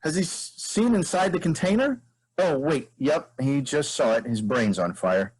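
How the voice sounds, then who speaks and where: A man playing an eerie, taunting half-moose mutant in a comic stage play, with a drawn-out, deliberate delivery. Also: on the high side, animated, darkish tone, husky, poor audio. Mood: dazed.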